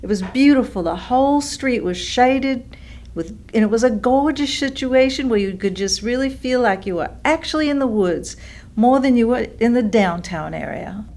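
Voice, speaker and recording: Elderly woman acted by a woman, fairly high voice, nostalgic and admiring, oral history monologue. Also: animated, normal speed, darkish tone. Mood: fond nostalgia. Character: elderly woman fondly recalling her old town.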